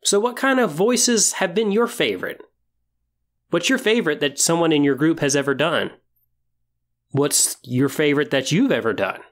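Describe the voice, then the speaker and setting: A man's voice: on the high side, animated, moderate pace. A man hosting a podcast, speaking directly to listeners in an encouraging, semi-scripted way. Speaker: podcast host. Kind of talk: semi-scripted podcast talk on tabletop roleplaying.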